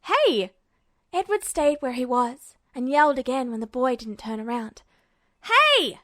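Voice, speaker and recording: A woman's voice: high-pitched, normal speed, no echo, clean studio sound. A woman reading scripted fiction aloud as an audiobook narrator. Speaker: fan fiction audiobook reader.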